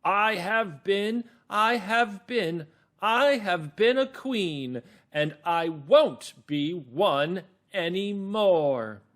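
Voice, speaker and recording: A man voicing a petulant, willful queen for children's reader's theater, with slow, emphatic delivery. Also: high voice, lively intonation, fairly bright tone, pitched above the performer's own voice, full character voice. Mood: petulant, chanting. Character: petulant, willful storybook queen bored of royal life.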